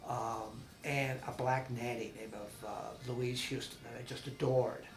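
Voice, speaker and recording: A man in his sixties from Massachusetts, recalling his life in a halting, rambling oral-history interview at home. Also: medium pitch, little pitch movement, creaky, echoey room. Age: 60s. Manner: rambling, halting with many ums and restarts. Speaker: veteran interviewee.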